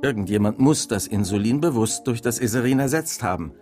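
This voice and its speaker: An actor playing a matter-of-fact, persistent police inspector in a radio crime drama, questioning formally. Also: male voice, mid-range voice, expressive, fairly quick, over music, close to an everyday voice. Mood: firm, polite.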